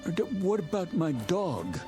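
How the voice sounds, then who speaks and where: Casting: defiant, wary reporter in classic radio drama; very animated intonation, faint score behind. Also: male voice, high voice, unhurried. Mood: wary, upset. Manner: blunt, defiant, questioning. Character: agitated, suspicious male newspaper reporter stranded in a town. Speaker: radio drama lead actor. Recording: radio drama with music underneath.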